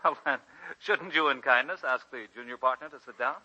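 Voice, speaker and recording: A man's voice: medium pitch, fairly quick, bright, forceful tone. An actor playing a polished, ardent young American male suitor in a 1940s radio drama, on a dull old recording. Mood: teasing.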